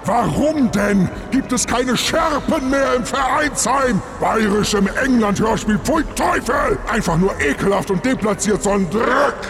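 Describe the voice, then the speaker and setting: A man's voice: very high voice, lively intonation, a little slow, gravelly, hardly any pauses, music in the background. A man hosting a satirical comedy sketch as a judge, sarcastic and withering, building into ranting mockery. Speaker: host playing a judge.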